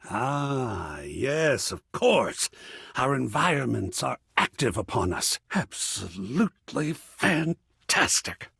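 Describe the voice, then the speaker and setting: Flamboyant gravelly art lover, wildly swooping. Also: male voice, mid-range voice, no echo, clean studio sound, heavily acted. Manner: dramatic, swooping, savoring words. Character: flamboyant, passionate, pretentious male art-loving gallery curator. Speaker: video game voice actor. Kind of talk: theatrical game character dialogue.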